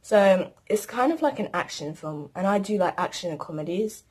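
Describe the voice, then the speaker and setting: A woman's voice: mid-range voice, expressive, normal speed, a little mellow, breathy edge. Teen girl, casual rambling vlog delivery. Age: teens. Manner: chatty, rambling, lists things. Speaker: vlogger. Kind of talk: casual chat to camera.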